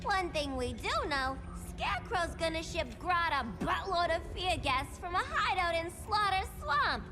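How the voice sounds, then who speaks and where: An actress playing a zany, cheeky reformed villainess in a video game, slangy and bubbly. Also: female voice, very high voice, animated, a little slow, few pauses, over music. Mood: cheeky, playful. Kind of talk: video game cutscene acting.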